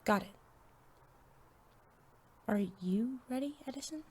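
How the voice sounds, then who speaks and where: A woman's voice: sits high, slow pace, slightly rough, full character voice. A woman playing a young superhero sidekick in an audio drama, nervous but eager, speaking dialogue and first-person narration. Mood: focused, unsure.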